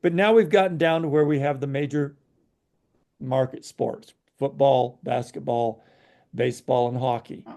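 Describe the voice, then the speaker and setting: A man's voice: mid-range voice, fairly even intonation, normal speed, gravelly, warm tone. A man co-hosting a podcast, giving frank opinions in casual chat, hesitant and full of fillers. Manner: frank, hesitant, many "you know" fillers. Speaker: podcast co-host.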